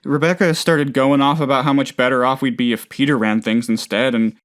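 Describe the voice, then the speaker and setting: A man's voice: mid-range voice, fairly even intonation, a little fast, clean tone, lightly acted. A man voicing a nervous, downtrodden factory boss in a video game, hesitant and rambling but earnest. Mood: rueful, confiding. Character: male anxious, bumbling, downtrodden plant boss and ex-worker. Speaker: factory manager character. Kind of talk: video game character voice lines.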